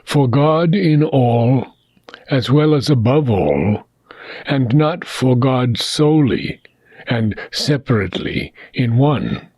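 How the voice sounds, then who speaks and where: Male narrator for philosophical audiobook: husky, unhurried, solemn and deliberate, with frequent pauses. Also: mid-range voice, expressive, darkish tone, dry recording. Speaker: reader of a spiritual philosophy book. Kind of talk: scripted audiobook reading.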